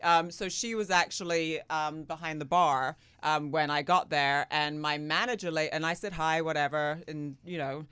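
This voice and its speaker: Woman guest, deep-voiced, smooth and crisp, animated anecdote teller, dry mic. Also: moderate pace, few pauses, no room sound. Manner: animated storyteller, lots of fillers and laughs. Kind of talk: casual chat.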